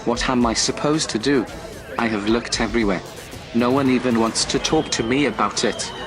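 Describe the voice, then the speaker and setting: A testy underworld fence from a science-fiction drama, acted by a man. He is sarcastic and grumbling, with an ordinary male pitch, and music plays clearly behind him. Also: normal speed, natural voice. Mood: defensive. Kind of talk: science-fiction film dialogue.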